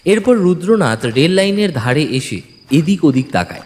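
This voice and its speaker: A man's voice: on the high side, sing-song, moderate pace, a little mellow, slight background noise. A man narrating a detective audio story in the first person, suspenseful and dramatic. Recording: long reverb, music bed. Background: music.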